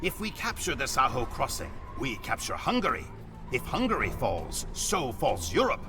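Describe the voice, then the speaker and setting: A man's voice: medium pitch, wildly swooping pitch, moderate pace. An actor playing a solemn, proud Mongol warrior who narrates his people's conquests in a grave, theatrical manner for a game cutscene. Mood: grave, determined. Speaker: campaign narrator. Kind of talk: dramatic scripted cutscene narration. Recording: music behind the voice. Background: music.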